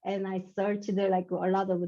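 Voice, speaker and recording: A young woman from South Korea with a Korean accent, speaking English haltingly as a guest author in a casual interview. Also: mid-range voice, little pitch movement, dark tone. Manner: halting, searching for words, laughs often. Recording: dull lo-fi sound.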